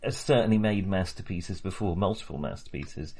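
A man co-hosting a film podcast in casual discussion, talking haltingly and often correcting himself. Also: low voice, dark tone. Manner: hesitant, halting, self-correcting.

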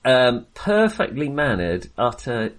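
A man's voice: medium pitch, sing-song, slow pace. A man chatting casually and enthusiastically about films as a podcast co-host, rambling and hesitating as he goes. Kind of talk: casual, chatty film discussion.

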